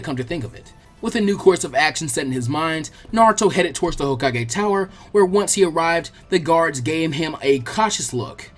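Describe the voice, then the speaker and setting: A husky man narrates a story with plenty of movement in his pitch. It is expressive audiobook storytelling, with music behind him. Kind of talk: scripted fan fiction narration, expressive storytelling.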